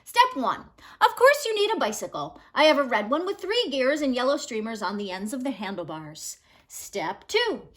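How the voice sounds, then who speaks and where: Animated, high-pitched woman reading to children. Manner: animated, instructive, emphatic storytelling delivery. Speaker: teacher reading aloud. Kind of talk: expressive children's picture-book read-aloud. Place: small living room at home, indoors.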